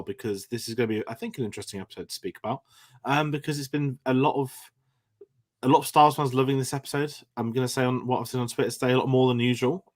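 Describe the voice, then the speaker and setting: Fast-talking man co-hosting. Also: medium pitch. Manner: chatty, upbeat, rambling. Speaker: co-host. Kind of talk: casual chat.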